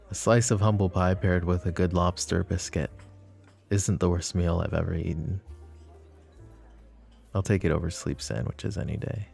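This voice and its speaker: A smug mafia boss, acted by a man in a romantic audio roleplay, with a low, gravelly voice. He is flirty and possessive, teasing suavely and taking his time between phrases. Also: a little mellow. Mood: wry, reflective. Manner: teasing, suave, unhurried with pauses. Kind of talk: romantic ASMR roleplay acting.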